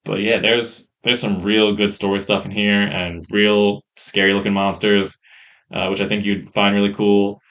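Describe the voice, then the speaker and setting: A man co-hosting a podcast, reviewing games in a casual, enthusiastic ramble on a rough-sounding mic. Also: lowish voice, level tone, moderate pace, fairly bright tone. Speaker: podcast co-host. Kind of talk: casual chat, game review.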